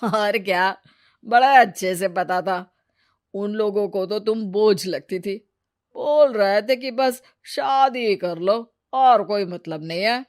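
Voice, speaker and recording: A woman voicing a lazy, irritable, jobless husband in a cartoon story, gruff and dismissive in manner. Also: mid-range voice, slow pace, lightly acted. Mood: blunt, dismissive.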